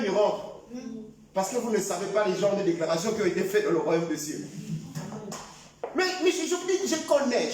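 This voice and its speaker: A male pastor preaching in a very high, strained voice that often rises to a shout. He is heard from a distance in a big, echoey hall, with the sound ringing around the room. He acts out sample prayers as he speaks. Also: slight background noise. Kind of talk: sermon.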